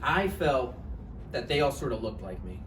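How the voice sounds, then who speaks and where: A man's voice: mid-range voice, sing-song, normal speed, a crowd in the background, close to an everyday voice. A man playing Roger, an awkward, needy struggling writer still hung up on his ex, in a hesitant, conversational live table read of a play. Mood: sheepish, probing. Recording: roomy, distant mic, noisy live recording.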